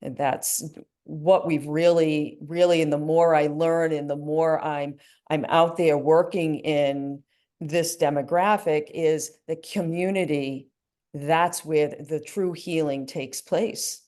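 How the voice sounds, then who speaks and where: A woman grief coach answers interview questions in a low voice. Her tone is mellow and warm rather than bright, and she talks earnestly, reflecting as she goes and often dropping in "you know.". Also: fairly even intonation, takes it slowly.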